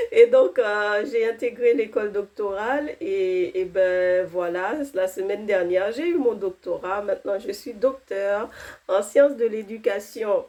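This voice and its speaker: A woman's voice: medium pitch, mellow. A woman in her thirties, not a native French speaker, giving an earnest personal faith testimony, with fillers and restarts.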